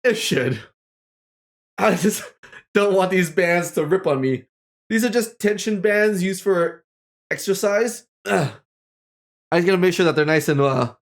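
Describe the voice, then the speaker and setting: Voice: mid-range voice, a little slow. A livestream host chatting casually to viewers in a playful, exclamatory way, often repeating phrases for effect.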